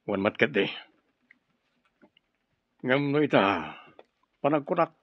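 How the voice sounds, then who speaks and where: A man's voice: medium pitch, big pitch swings, slow pace, no echo. A man with an Ilocano accent playing a commanding, cautious leader of a secretive group in a radio drama. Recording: dull radio drama sound, music behind.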